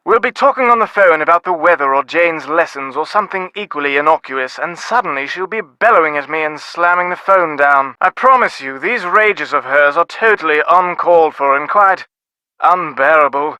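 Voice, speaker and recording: Posh british diplomat husband, formal n reserved, sharp forceful voice, kinda high, game dialogue, very dry sound. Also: male voice, animated, normal speed, smooth, no room sound, low-quality recording. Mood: exasperated, reserved. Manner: formal, clipped, wordy. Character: reserved, busy middle-aged upper-class British diplomat husband. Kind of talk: video game voice acting.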